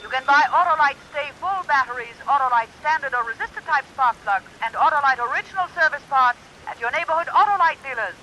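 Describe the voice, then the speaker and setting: A man voicing a perky, singsong product pitch character in a 1950s radio commercial. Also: animated, fast talker, hardly any pauses, bright, forceful tone, exaggerated voice. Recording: muffled, hissy old radio recording.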